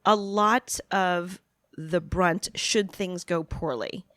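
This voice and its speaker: A woman's voice: lowish voice, steady tone, takes it slowly, a bit bright, no echo, studio quality. A woman from New York City, a media producer, talking casually in an interview with frequent laughter and self-deprecating asides. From New York City, USA.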